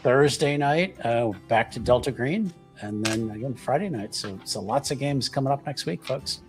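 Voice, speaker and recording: A man's voice: mid-range voice, expressive, moderate pace, over music. A man chatting casually as player and host of a roleplaying livestream, with hesitant, stop-and-start phrasing. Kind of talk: casual livestream game chat.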